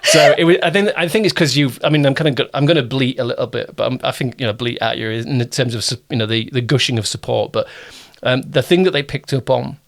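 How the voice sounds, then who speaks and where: Male interviewer, mid-pitched voice, informal and chatty, circles back and corrects himself mid-sentence. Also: fast talker, creaky, few pauses. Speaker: interviewer and producer. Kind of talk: casual interview chat.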